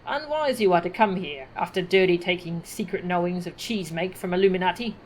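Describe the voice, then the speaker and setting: A woman's voice: fairly low pitch, expressive, a little fast, slight background noise, close to an everyday voice. A woman voicing a threatening male goblin thug who talks in broken grammar.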